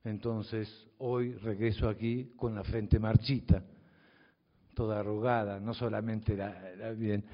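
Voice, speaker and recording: A man's voice: on the low side, flat delivery, slow pace. Older Southern Cone man in echoing hall. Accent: Southern Cone Spanish. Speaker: speaker, mathematics teacher. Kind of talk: public speech to an audience. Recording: dull audio from a reverberant hall.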